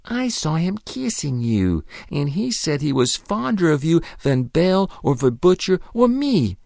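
A man's voice: fairly high pitch, very animated, unhurried, gravelly, a little mellow, no room sound, pitched above the performer's own voice, heavily acted. A man reading aloud and voicing a small boy who pleads earnestly and tearfully. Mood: pleading, tearful. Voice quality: childish, pleading.

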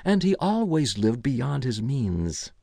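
A man voicing a flustered, embarrassed gentleman who babbles hesitantly in an audiobook. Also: medium pitch, big pitch swings, slow, creaky, dry recording, voice pushed up, heavily acted. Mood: explaining. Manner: stammering, hesitant, over-explaining. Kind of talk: audiobook character voice.

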